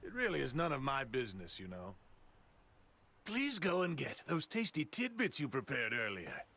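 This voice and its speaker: Imperious bored god, anime game, mid-pitched, blunt, dismissive, lively inflection. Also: male voice, moderate pace, exaggerated voice. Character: haughty, bored, blunt male god of destruction. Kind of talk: anime video game voice acting.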